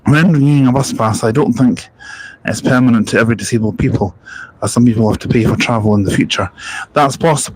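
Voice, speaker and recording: A chatty young man talks on a live stream from outdoors at a bus park and ride. His voice sits in a middling pitch. He rambles loosely between anecdotes, remarks on the buses going by and answers viewer comments. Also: brisk, slight background noise, poor audio. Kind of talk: casual live-stream chat.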